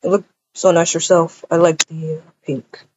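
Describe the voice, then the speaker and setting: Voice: medium pitch, little pitch movement, normal speed, darkish tone, slight background noise, natural voice. A performer playing a laid-back, cocky young man in a casual roleplay, speaking in an offhand, teasing way. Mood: low-key. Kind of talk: casual improvised roleplay acting. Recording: dull, lo-fi home microphone.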